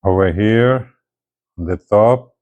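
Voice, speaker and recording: Slow, low-pitched man giving a demo. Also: phone-quality sound. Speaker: gadget reviewer presenting a CB radio. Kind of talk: casual hands-on product demonstration.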